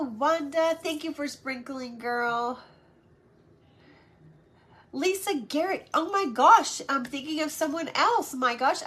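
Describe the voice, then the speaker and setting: A woman's voice: high voice, a bit bright. A middle-aged woman hosting a casual craft livestream, chatty and friendly, greeting viewers by name, her voice stuffed up and nasal from sinus congestion.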